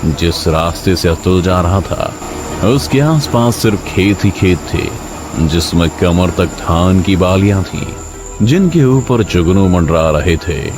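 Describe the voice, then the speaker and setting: A man's voice: low voice, lively intonation, takes it slowly, background noise. A man narrating a scripted suspense story in a dramatic style.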